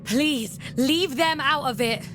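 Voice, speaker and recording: A woman's voice: sits high, slow, background noise. An actress playing a determined, guarded young woman prisoner in a sci-fi game, terse and earnest. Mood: concerned. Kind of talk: cinematic video game acting. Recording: loud music behind.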